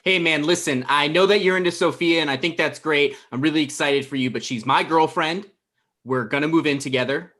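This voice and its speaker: A man's voice: on the high side, fairly quick, a bit bright, natural voice. An anxious young man played by a man at a table read. He is an indignant, slightly goofy boyfriend pleading his case in a naturalistic script over a video call. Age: young adult. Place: small room at home, video call.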